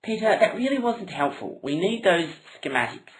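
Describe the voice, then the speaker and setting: A woman's voice: lowish voice, big pitch swings, normal speed, voice pushed up, rough recording. A woman voicing an exasperated, logical and critical member of a bumbling robber gang in a comic puppet show.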